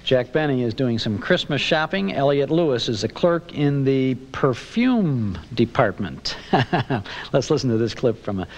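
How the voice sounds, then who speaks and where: A man's voice: mid-range voice, sing-song, unhurried, a little mellow. A man hosting an old-time radio show with friendly, folksy announcer patter. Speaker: radio host.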